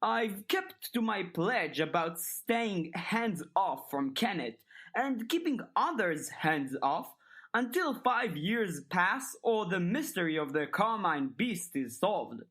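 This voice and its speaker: Woman doing older headmaster guy for an audiobook, smooth n calculating, formal, slow talker, pitch moves around a lot. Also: very animated, fairly bright tone. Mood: measured, diplomatic. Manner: measured, formal, articulate. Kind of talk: dramatized audiobook dialogue.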